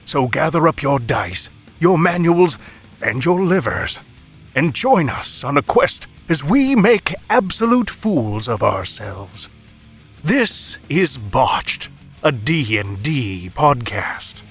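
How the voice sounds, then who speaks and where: Slow, theatrical man narrating over guitar music. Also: on the high side, big pitch swings, slightly rough. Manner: mock-epic, theatrical. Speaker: podcast narrator. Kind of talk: dramatic scripted intro narration.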